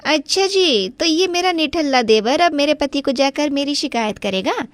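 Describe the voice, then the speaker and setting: A woman's voice: sits high, lively intonation, normal speed, no echo, voice pushed up. A woman voicing a spiteful, scheming young married woman in a cartoon story, theatrical and nagging. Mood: sly scheming. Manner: theatrical, scolding and falsely pleading. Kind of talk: Hindi cartoon story acting.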